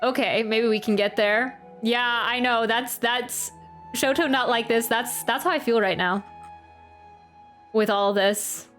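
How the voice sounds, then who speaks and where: Young woman streaming a game, chatty and friendly, kinda giggly, highish voice, faint music behind. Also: a little slow, a bit bright. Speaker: game livestreamer. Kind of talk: casual livestream chat.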